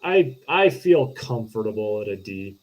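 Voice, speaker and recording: A man in a casual movie review panel, speaking in a halting, hedging way as a co-host. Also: lowish voice, very animated, a little mellow.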